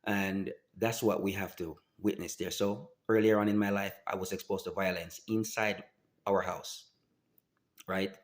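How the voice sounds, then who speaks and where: Halting low-voiced man. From Jamaica. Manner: halting, many restarts and fillers, earnest. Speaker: vlogger. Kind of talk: personal testimony to camera.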